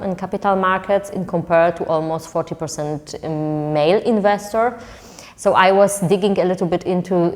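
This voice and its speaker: A woman's voice: lowish voice, in a large hall. A woman from Poland, Polish native, answering interview questions with frequent restarts and fillers. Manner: hesitant, repeating words, many fillers. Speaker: entrepreneur guest.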